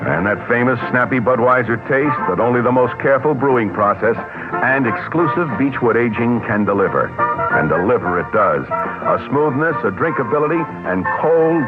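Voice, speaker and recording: A man reading a 1970s radio beer ad in a smooth, polished, salesy way. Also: mid-range voice. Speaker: radio announcer. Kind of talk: ad read. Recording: tinny 1970s radio broadcast with music.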